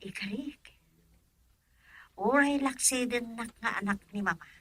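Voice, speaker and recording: A woman's voice: fairly high pitch, unhurried. A woman playing a young, defiant daughter in love, with emotional, pleading radio drama delivery and an Ilocano accent. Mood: tender, troubled.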